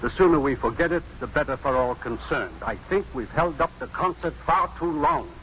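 An actor playing an authoritative, impatient academic at a hearing in 1950s radio drama, formal and decisive. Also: male voice, on the high side, expressive, moderate pace, a bit bright. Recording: dull, noisy 1950s radio recording.

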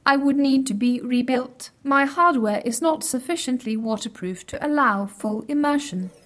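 A woman's voice: sits high, normal speed, fairly bright tone. A woman playing a haughty, literal-minded artificial intelligence in an audio drama, speaking in stilted, precise, formal phrases, blunt and condescending. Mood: defensive.

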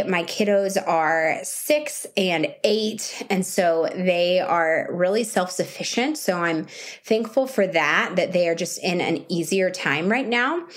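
A woman in her thirties with a sore, scratchy voice talks straight to her viewers. Her pitch sits a little low, and she keeps things chatty and easygoing, like she's recording a diary at home while she's sick. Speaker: vlogger. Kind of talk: casual video diary.

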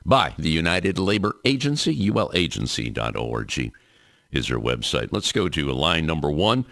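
Male talk show host: very low, bright voice, animated, dry studio sound. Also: moderate pace, creaky, dry recording. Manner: lively announcer delivery, reads sponsor plugs. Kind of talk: union radio talk show interview.

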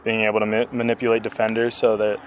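A young man answering interview questions calmly and politely. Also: lowish voice, quick pace, rough recording. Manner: calm, polite. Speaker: interviewed football player.

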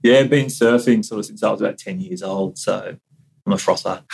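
A low, husky-voiced Australian man talking as a podcast guest. He speaks in an easygoing, unhurried way and drops in casual slang. He sounds relaxed and open. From New South Wales, Australia. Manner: laid-back, easygoing, uses casual slang. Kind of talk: casual interview chat.

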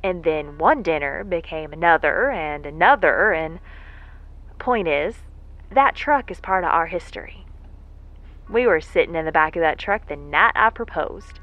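A woman's voice: fairly low pitch, lively intonation, a little slow, highs cut off. A woman playing a folksy, lovesick moonbase worker who rambles with wry self-deprecation in an audio drama monologue. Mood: wistful, rueful. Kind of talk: audio drama monologue, a spoken letter home. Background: faint music.

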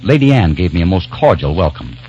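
Deep-voiced romantic radio leading man. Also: very animated, a little fast, darkish tone, a little hiss or hum. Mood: wonder. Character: romantic American time traveler posing as an English cousin. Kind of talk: 1940s radio acting, first-person narration and dialogue. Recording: dull 1940s radio recording with music.

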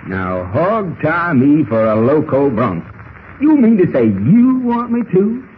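An actor playing a folksy, talkative, excitable cowboy with an American Western drawl and colorful slang in a 1940s radio style. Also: male voice, fairly high pitch, slow. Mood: startled. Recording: tinny, noisy old radio recording.

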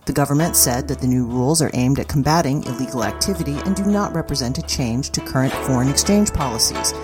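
A woman's voice: rumbling low, fast. A woman reading a scripted news roundup as a podcast newsreader. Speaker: news reader. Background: music bed.

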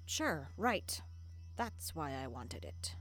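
A fairly low voice for a tough, sarcastic female doctor. She is dry and unflappable in an audio drama, with clear noise and clicks behind her. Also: sing-song, slow, heavily acted. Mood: defiant, dry. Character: sardonic, tough, hard-drinking female doctor.

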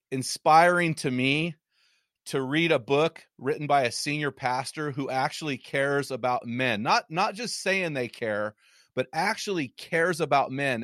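A man hosting an interview, casual and enthusiastic, with quick laughs. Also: on the high side, fairly bright tone, no room sound, studio quality. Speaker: interview host.

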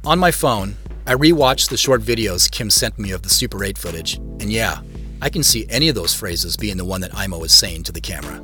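A reflective storyteller in an audio drama, speaking in a fairly low voice. He narrates in the first person, as scripted, with clear music playing behind him. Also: expressive, a little fast, pushed and bright. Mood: dry, matter-of-fact. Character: reflective man recounting his dreams and his search.

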